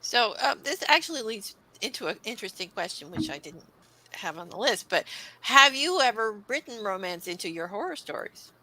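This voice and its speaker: A woman's voice: mid-range voice, very animated, normal speed, sharp tone, slight background noise, poor audio. A woman hosting a horror panel discussion, asking questions and laughing easily. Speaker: host, moderator.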